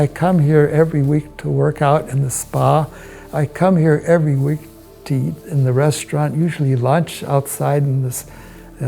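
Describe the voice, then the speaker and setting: An American man gives a promotional interview as a business owner, with soft music behind him. His voice is relaxed and mellow with a noticeable fry. He talks in measured, halting short phrases, as if recalling things one piece at a time. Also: fairly high pitch. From United States. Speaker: hotel owner and investor. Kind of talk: promotional interview, personal recollection. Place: indoors, hotel suite.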